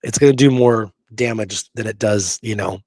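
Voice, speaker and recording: A man's voice: lowish voice, moderate pace, darkish tone, no echo. A man hosting a history discussion, talking informally with emphatic opinions. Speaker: host of a history show.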